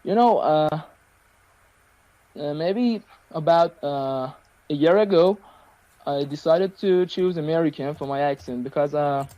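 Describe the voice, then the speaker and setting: A man who speaks Persian natively, talking haltingly over a video call and filling his sentences with hesitations. His voice is mellow and sits a bit high. The sound is dry and muffled, like cheap call audio. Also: no room sound. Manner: halting, many fillers and restarts. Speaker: English learner. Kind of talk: conversation practice on a video call.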